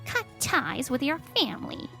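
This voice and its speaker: A woman's voice: high-pitched, sing-song, normal speed, gravelly, music in the background, full character voice. A woman voicing a haughty, controlling old mother-in-law who scolds imperiously in a manga dub.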